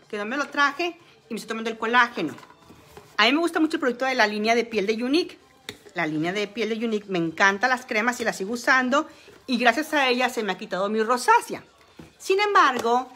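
A woman's voice: a little high, pushed and bright. A woman, chatting casually to a phone camera at home about wellness products, with many fillers. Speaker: beauty and wellness vlogger. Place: small room at home, indoors. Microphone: phone camera at arm's length.

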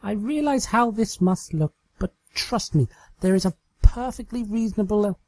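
A man voicing a theatrical female pony magician in a storybook reading, with breathy, pleading, melodramatic delivery. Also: high-pitched, normal speed, a little mellow, dry recording, voice pushed up. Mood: sheepish.

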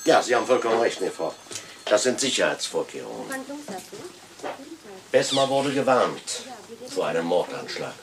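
An actor playing a polite but firm police detective in a German crime radio play. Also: male voice, lowish voice, sing-song, moderate pace, long pauses, far from the mic, a crowd in the background.

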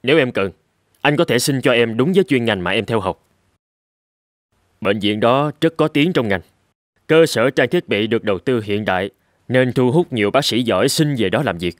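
A man's voice: medium pitch, expressive, moderate pace, no room sound, clean studio sound, close to an everyday voice. A man voicing a stern, practical middle-aged surgeon in a cartoon. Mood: persuasive. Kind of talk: cartoon dubbing.

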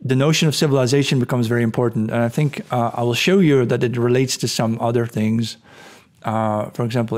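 A middle-aged man hosting a podcast, speaking from a home room into a studio mic, hoarse and clearing his throat, thinking aloud with fillers. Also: mid-range voice, a little mellow. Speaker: podcast host. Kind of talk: casual explanatory talk over screen share. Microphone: studio mic on boom arm.

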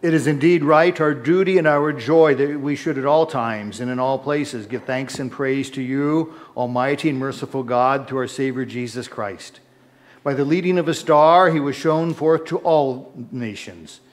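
Solemn middle-aged pastor leading prayers. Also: male voice, mid-range voice. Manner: measured, solemn intoning, rising in the preface. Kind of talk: liturgical prayer and chanted-style preface. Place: church sanctuary, indoors, large. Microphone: far camera, altar mic.

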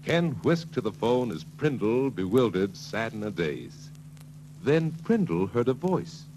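A man's voice: medium pitch, very animated, a little slow, darkish tone. A man narrating a 1940s sales training film in a scripted storytelling style. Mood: brisk storytelling. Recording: noisy, humming 1940s film soundtrack.

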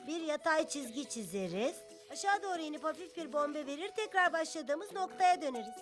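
A woman's voice: high voice, animated, brisk, music in the background. A woman voicing a cheerful, helpful young animal in a children's cartoon dub, explaining things in a friendly, step-by-step way. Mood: patiently explaining.

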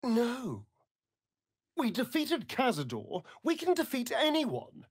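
An actor playing a vain, sardonic vampire rogue in a video game, with a British accent and an arch, aristocratic, theatrical delivery. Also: male voice, extremely high pitch, wildly swooping pitch, slow pace, dry recording, studio quality, exaggerated voice. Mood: defiant. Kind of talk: theatrical game character dialogue.

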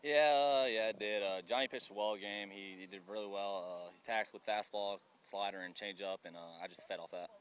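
A young man, a college pitcher, giving short hesitant answers with fillers outdoors by the field. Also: a little fast, few pauses. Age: young adult. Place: outdoors beside a baseball field.

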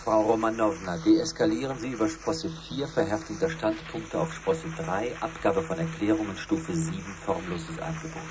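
Low-voiced actor as formal male officer. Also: normal speed, few pauses, over music, lightly acted. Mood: businesslike. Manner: clipped, businesslike, formal. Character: male officer giving crisp escalation orders. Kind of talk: 1970s radio drama.

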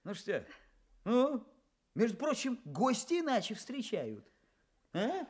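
An actor playing a cocky, boastful young village tractor driver in a radio drama, with a swaggering, teasing manner. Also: male voice, very high-pitched, wildly swooping pitch, slow, a little mellow, husky, full character voice. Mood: boastful, jovial. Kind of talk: Soviet radio drama acting.

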